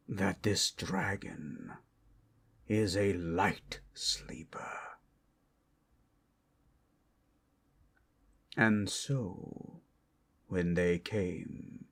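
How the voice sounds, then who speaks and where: A man playing an ancient, solemn, protective dragon guarding its hoard in a dramatic ASMR roleplay, with a husky, breathy delivery and grave, deliberate pauses. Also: low-pitched, exaggerated voice. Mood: menacing.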